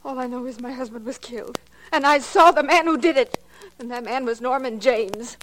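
An actress playing a distraught, insistent widow, stammering and emphatic, in 1940s radio acting style. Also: female voice, high-pitched, moderate pace, fairly bright tone, slight background noise, rough recording. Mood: agitated.

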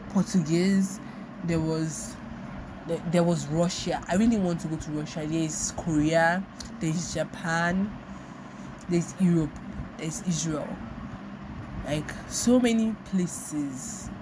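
A woman's voice: deep voice, slow pace, pauses often, noisy background. A young Nigerian woman with a Nigerian accent, rambling reflectively on her solo podcast and often repeating herself. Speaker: podcast host. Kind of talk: casual solo monologue.

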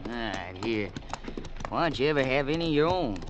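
An actor playing a wry, rustic cavalry trooper in an old radio drama. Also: male voice, mid-range voice, expressive, a little fast, close to an everyday voice. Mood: grudging. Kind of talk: 1940s-50s radio acting. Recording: noisy old radio recording.

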